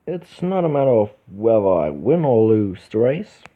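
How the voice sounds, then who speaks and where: A man from Germany with a German accent hosting a casual let's play, reading visual novel text aloud haltingly and correcting himself as he goes. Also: lowish voice, sing-song. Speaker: gaming video host. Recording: dull lo-fi microphone, music behind.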